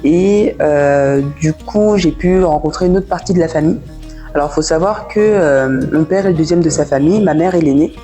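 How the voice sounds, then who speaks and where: A woman's voice: low-pitched, moderate pace, mellow, soft background music. A woman in her thirties from France, with a French accent from France, answering as a guest in a casual interview. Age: 30s. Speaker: guest, café owner.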